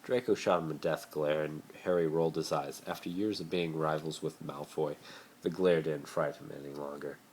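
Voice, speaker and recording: Amateur male reader, extremely low voice, dramatic story narration with stumbles, noisy phone recording. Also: steady tone, moderate pace, a little mellow. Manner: stumbles over words and corrects himself. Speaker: amateur reader of fan fiction.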